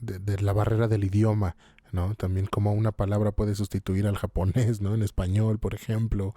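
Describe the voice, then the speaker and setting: A man's voice: on the low side, level tone, normal speed, warm tone, dry recording, studio quality. A man hosting a casual podcast interview, speaking conversationally.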